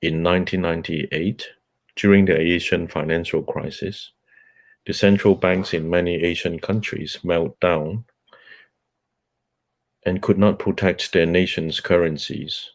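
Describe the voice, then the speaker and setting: Native Cantonese male book-club host, very deep voice, unhurried nonfiction reading. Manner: measured, halting with fillers between phrases.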